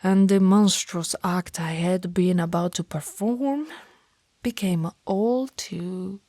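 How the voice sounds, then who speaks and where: A woman playing a centuries-old vampire in an ASMR roleplay, formal and tender, speaking softly and breathily, often in a whisper. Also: fairly low pitch, takes it slowly, no echo, clean studio sound. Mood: remorseful, tender. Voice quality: soft, breathy. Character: centuries-old female vampire, lovestruck, remorseful, hungry, formal. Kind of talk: ASMR roleplay, slow intimate monologue.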